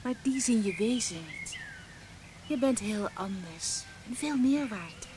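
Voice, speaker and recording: A woman's voice: on the high side, expressive, unhurried, a little mellow. An actress playing a frank, devoted young woman in a radio play, teasing and affectionate. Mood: indignant, frank. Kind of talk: Dutch radio play acting. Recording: noisy old radio play recording.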